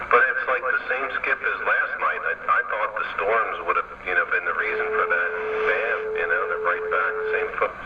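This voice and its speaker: A man's voice: low-pitched, fairly even intonation, moderate pace, highs cut off, slight background noise. A man chatting casually on CB radio about band conditions. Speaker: CB radio operator. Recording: distant, echoey CB radio signal.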